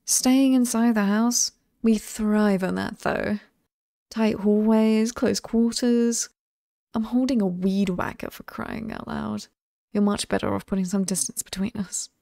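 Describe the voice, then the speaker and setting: Woman acting as female slasher killer, British accent, gravelly fry, upbeat and wry, conversational asides, ASMR villain roleplay, dry close recording. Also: medium pitch, darkish tone, dry recording. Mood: wry, instructive. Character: chatty, oddly sweet female slasher killer, apologetic and upbeat.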